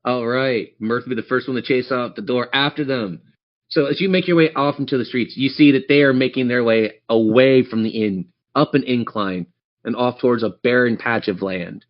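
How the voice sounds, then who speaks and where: A man's voice: medium pitch, normal speed. A man working as game master, improvising narration for a tabletop game over slightly muffled audio.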